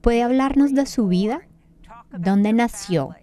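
A woman voicing over the interviewer's questions as an interpreter with an even, measured reading. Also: fairly high pitch, no room sound. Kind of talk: read translation.

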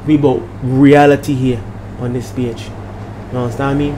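A man's voice: medium pitch, moderate pace. An older man with a Bajan accent talks casually as a minister hosting his vlog, preachy and emphatic, repeating phrases and checking in with listeners. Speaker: minister and channel host. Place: small room at home, indoors. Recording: noisy room with steady background hum.

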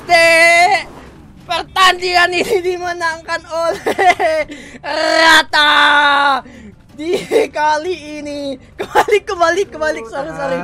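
A man's voice: very high-pitched, bright, forceful tone. A young man in casual gaming roleplay chat, playful and singsong, repeating catchphrases. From Indonesia. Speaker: gaming streamer. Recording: music and engine noise behind voice. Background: music and game vehicle sounds.

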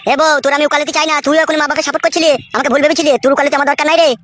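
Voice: sits high, level tone, very fast, heavy background noise, close to an everyday voice. A performer voicing an angry, stubborn, foul-mouthed young son-in-law who scolds and argues in a comedy cartoon. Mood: indignant. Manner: scolding, argumentative, crude.

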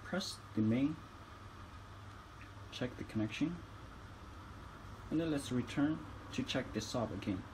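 A man's voice: mid-range voice, slow, pauses often, background noise. A man giving instructional tutorial narration as a product demonstrator, phrasing each step carefully.

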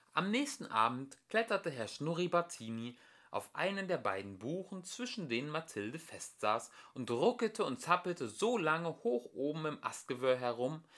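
Animated man reading a children's story. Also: medium pitch. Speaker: amateur audiobook reader. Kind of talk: expressive children's storybook narration.

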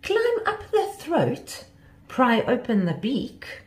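A woman's voice: fairly low pitch, sing-song, normal speed, a little mellow, reverberant, a little hiss or hum. A woman voicing a vain, bossy young female butterfly in a children's story, haughty, scornful and theatrical. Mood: scornful, drawling.

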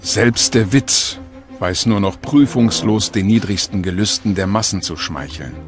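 An actor playing an embittered, haughty industrialist in a dramatized documentary quote, declaiming with indignation. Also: male voice, on the low side, unhurried, music in the background, lightly acted. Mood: indignant, scornful. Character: embittered, haughty male industrialist scorning the masses.

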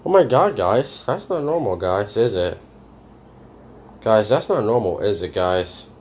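A man's voice: fairly low pitch, expressive, a little slow, background noise. Rambling man saying "guys" nonstop. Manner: rambling, says "guys" constantly. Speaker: reaction channel host. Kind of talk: casual reaction commentary.

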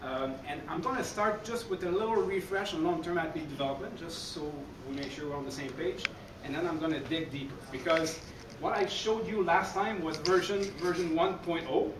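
A man with a Québécois French accent talks energetically to a room of coaches. His voice sits a little high, and he often tosses in "okay?". He is recorded from far off in a roomy, echoey hall with noise underneath. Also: fairly quick. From Quebec City, Canada. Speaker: baseball coach giving a conference talk. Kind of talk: conference presentation. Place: dark conference hall, speaking in front of a screen. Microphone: room recording from a far camera. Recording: echoey hall, heard from afar, noisy.

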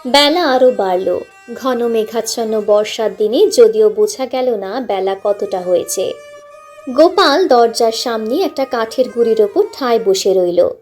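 A woman's voice: on the high side, lively intonation, unhurried, darkish tone. A woman narrating a literary story expressively, audiobook style, with music underneath.

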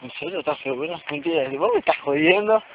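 A man's voice: high voice, huge pitch swings, fast, gravelly, exaggerated voice. An amateur man actor playing a suspicious man seeking the truth about his brother, with an Argentine accent, in a radio play. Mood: incredulous, demanding. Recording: noisy, muffled amateur radio drama recording.

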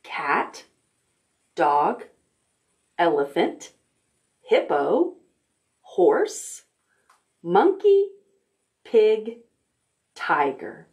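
A middle-aged woman hosting a children's storytime, reading aloud in simple, expressive language for toddlers. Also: fairly low pitch, drawn-out words, pauses often. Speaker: library storytime host. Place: indoor room, recorded on video.

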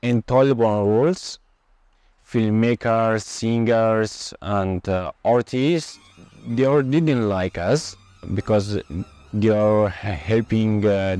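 A young man from Afghanistan in his twenties speaks slow, careful with frequent pauses. His voice is fairly low and clear, with soft music under it. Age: 20s. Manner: slow, careful non-native speech with pauses. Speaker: filmmaker. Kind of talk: documentary interview.

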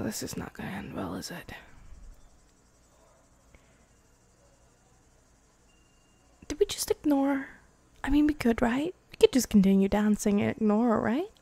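Woman acting a breathy, possessive vampire bride. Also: a little high, warm tone, no echo, heavily acted. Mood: irritated, jealous. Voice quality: breathy, often dropping to a whisper. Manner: slow, intimate, teasing. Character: possessive, menacing young female vampire bride, tender with her lover. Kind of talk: ASMR girlfriend roleplay, improvised.

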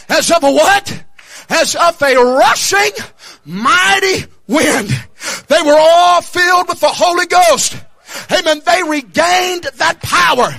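High, shouting young preacher. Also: male voice, vocal fry. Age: young adult. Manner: fervent, half-singing calls of amen and hallelujah. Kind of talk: shouted revival sermon.

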